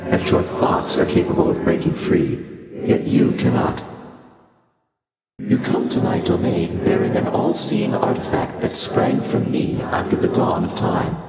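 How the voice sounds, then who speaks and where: A male actor playing an ancient, detached god of the void in a video game, speaking in stately, formal, archaic phrases with otherworldly processing. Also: low-pitched. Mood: detached, musing. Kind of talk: video game voice acting, measured formal monologue. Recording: cavernous reverb under loud music. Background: loud music.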